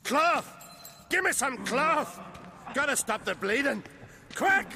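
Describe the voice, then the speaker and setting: Gravelly, proud clan chieftain. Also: male voice, extremely high pitch, big pitch swings, slow pace, sharp tone, full character voice. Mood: panicked, urgent. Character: proud aging clan chieftain, stern and fatherly. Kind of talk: dramatic video game acting. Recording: music behind the voice.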